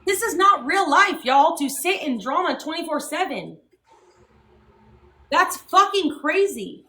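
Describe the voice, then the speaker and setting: A woman's voice: extremely high pitch, very animated, measured pace, pushed and bright, reverberant, slight background noise. A young woman hosting a livestream, ranting and swearing with heavy emphasis. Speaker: livestream host. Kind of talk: heated livestream talk.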